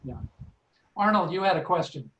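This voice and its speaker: A man moderating a panel discussion on a video call, speaking haltingly and repeating words. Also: high voice, lively intonation, sharp tone, echoey room, highs cut off. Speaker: seminar moderator. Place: indoors, online video seminar.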